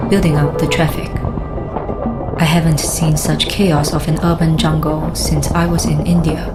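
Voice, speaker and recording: An actress playing a wry, observant female adventurer, narrating in the first person for an audio drama. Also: low-pitched.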